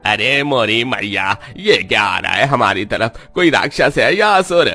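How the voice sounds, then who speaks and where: A man voicing an excitable, easily startled simple villager in a cartoon, with rustic exclamations. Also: mid-range voice, unhurried, exaggerated voice. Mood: alarmed.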